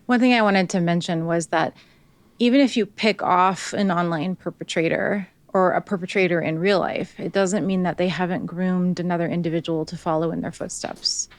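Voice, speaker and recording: A woman's voice: lowish voice, fairly even intonation, normal speed, no room sound. A woman hosting an interview podcast, speaking in an earnest, reflective way. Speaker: podcast host. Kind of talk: interview questions.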